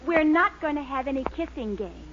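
A sweet, high-voiced young sweetheart. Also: female voice, expressive, fairly quick. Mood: firm, exasperated. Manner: gushing, earnest. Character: sweet, eager young girlfriend and loyal daughter. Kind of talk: 1940s radio comedy acting. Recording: muffled 1940s radio recording, faint noise.

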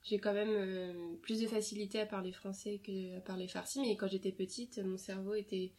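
A woman's voice: mid-range voice, flat delivery, fairly quick, a little mellow. A young woman from France, interviewed about her family and childhood, talking in a casual way with many fillers and restarts.

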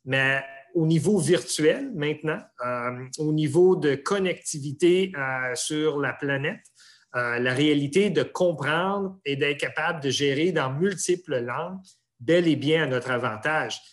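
A man from Canada with a Canadian French accent, answering interview questions in a measured, hesitant way with many pauses. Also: on the high side.

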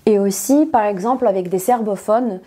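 Mellow-voiced teacher, woman. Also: medium pitch, moderate pace. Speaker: non-native French teacher, interview guest. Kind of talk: conversational explanation in an interview.

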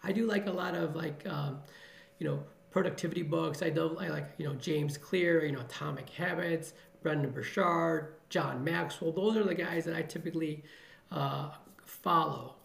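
Middle-aged male ER doctor from the Chicago area, earnest and motivational, heavy vocal fry, echoey room. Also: fairly high pitch, normal speed. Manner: earnest, motivational, repeats phrases for emphasis. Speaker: emergency physician guest. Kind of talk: podcast interview answers.

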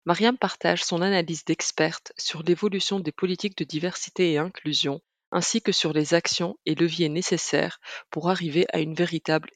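A woman's voice: fairly low pitch, no room sound. A woman podcast host reading a scripted narration in French, recorded close.

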